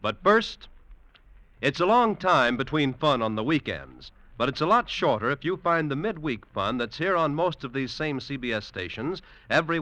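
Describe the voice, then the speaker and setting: Bright, animated American radio announcer man. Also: fairly high pitch. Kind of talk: 1940s-50s radio announcing, scripted.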